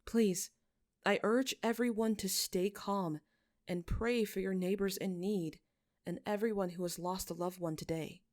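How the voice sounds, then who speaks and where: Woman reading as a kind young holy guy, calm, reassuring, storybook dialogue. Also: mid-range voice, measured pace, close to an everyday voice. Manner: soothing, reassuring. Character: gentle young male shepherd, calm holy leader.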